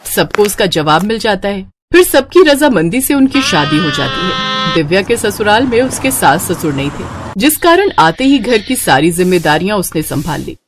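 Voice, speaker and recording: A woman's voice: mid-range voice, sing-song, normal speed, hardly any pauses, noisy background. A woman narrator reading a scripted moral story.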